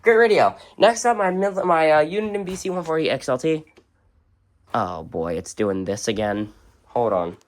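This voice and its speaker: Offhand radio collector with a mid-pitched voice. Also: lively intonation, normal speed. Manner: blunt, offhand, brief verdicts. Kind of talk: casual unscripted show-and-tell. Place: indoors at home, among shelves and tables of radios.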